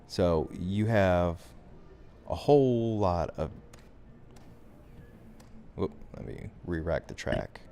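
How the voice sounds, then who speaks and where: A man giving a software tutorial as instructor, talking through the steps in an informal, conversational way. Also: low voice, sing-song, slow, gravelly, long pauses, faint music behind.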